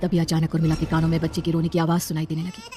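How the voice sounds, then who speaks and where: Brisk horror story narrator. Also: mid-range voice, completely flat delivery, dark tone, soft background music.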